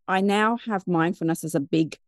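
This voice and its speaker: A British woman in her fifties from the UK, a guest telling her story in a chatty, animated, digressive way. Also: on the low side, moderate pace, no room sound, studio quality. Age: 50s. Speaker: guest, mindfulness business founder. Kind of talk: conversational interview storytelling.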